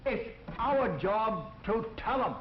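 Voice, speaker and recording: A man's voice: high-pitched, lively intonation, slow. A man playing a stern authoritarian teacher trainer, lecturing dogmatically in 1940s educational film style. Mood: stern, scornful. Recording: echoey room, dull noisy old film soundtrack.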